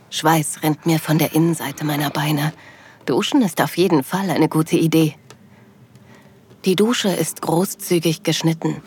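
A woman playing a loving, happy wife, narrating an intimate audio drama softly and breathily, often near a whisper. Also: low-pitched, slight background noise, natural voice. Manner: soft, breathy delivery, often dropping to a whisper. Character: loving wife, happily moved, narrating her anniversary trip tenderly.

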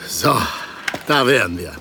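A man's voice: on the low side, lively intonation, a little slow, background noise. A man playing an affectionate, confident middle-aged husband in casual audio drama dialogue. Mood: relaxed, affectionate.

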